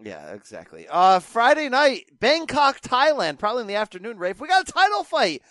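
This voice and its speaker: A man's voice: very high-pitched, huge pitch swings, brisk, fairly bright tone, no echo. A man hosting a boxing podcast, rattling off the weekend's fight listings with wry, joking asides. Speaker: podcast host. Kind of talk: casual chat.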